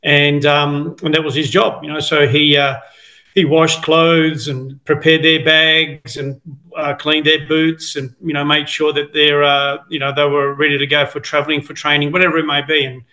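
A man's voice: a little high, level tone, normal speed, bright, forceful tone, rough recording. A man in his fifties, born in Italy, telling stories about his football past on a video call, rambling with many fillers and restarts. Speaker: guest, former footballer. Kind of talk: casual interview over video call. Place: home room, indoors. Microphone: video call, laptop camera.

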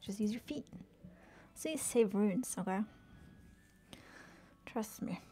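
A young woman streaming a game, murmuring casual commentary to herself and often slipping into a whisper, with a soft, soothing voice. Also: mid-range voice, lively intonation, slow pace, slightly rough, faint music behind.

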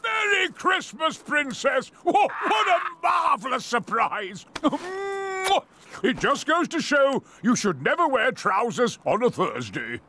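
A man's voice: extremely high pitch, big pitch swings, slow, noisy background, exaggerated voice. A man voicing an eccentric, jolly old great uncle in a children's cartoon, muttering and exclaiming. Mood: delighted.

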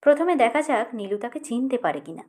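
A woman's voice: a little high, very animated, a little fast, a little mellow. A woman reading a literary short story as an audiobook narrator.

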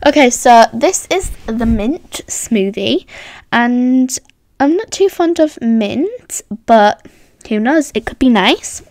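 A woman's voice: on the high side, no room sound. A woman hosting a voiced roleplay vlog, bubbly and chatty, reacting with gasps and hums. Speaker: roleplay channel host.

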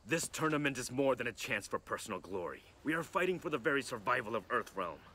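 An actor playing a stern young warrior monk in a fighting game, speaking in a serious, reverent, formal manner. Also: male voice, mid-range voice, big pitch swings, fast talker, bright, forceful tone, a little hiss or hum. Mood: earnest reproach.

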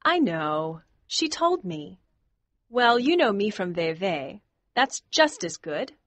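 A man's voice: slow pace, dry recording, full character voice. A man putting on a teasing young woman. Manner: teasing, high and animated. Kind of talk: audiobook character voice.

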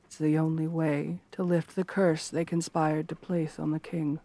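A woman playing a stern, scheming royal guard captain in a fantasy roleplay, formal, measured and commanding. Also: low-pitched, level tone, warm tone. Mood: cold, commanding. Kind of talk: scripted fantasy ASMR roleplay.

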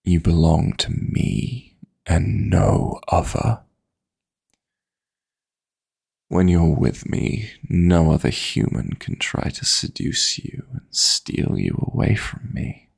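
Man voicing a low, gravelly seductive demon lover. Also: a little mellow, exaggerated voice. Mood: possessive. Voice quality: breathy, gravelly. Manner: seductive, coaxing, deliberate. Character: possessive, teasing male dream demon lover. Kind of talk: intimate roleplay sleep-aid audio, slow and soft.

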